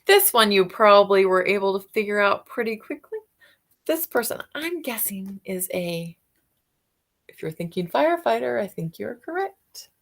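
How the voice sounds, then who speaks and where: A woman's voice: mid-range voice, very animated, moderate pace, gravelly, slight background noise. A woman talking as a teacher to children, explaining off the cuff, guessing aloud and putting questions to her listeners. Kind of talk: children's read-aloud discussion, unscripted explaining.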